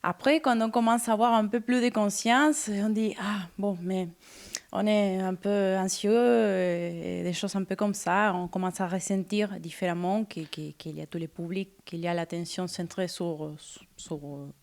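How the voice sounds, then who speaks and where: A woman from Argentina, a singer interviewed on the radio, who searches for her words with many pauses and fillers. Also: mid-range voice, fairly even intonation, vocal fry, a little mellow.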